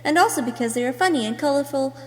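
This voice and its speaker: High-voiced woman narrating in long reverb. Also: lively intonation, fast. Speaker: amateur voice actor. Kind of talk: scripted character narration for an amateur voice reel. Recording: echoey, long room reverb.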